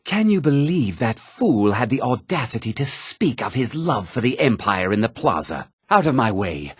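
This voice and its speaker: A man's voice: medium pitch, slightly rough, no room sound, studio quality. An actor playing a haughty, stern, indignant young nobleman in a video game. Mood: dismissive. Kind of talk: video game character lines.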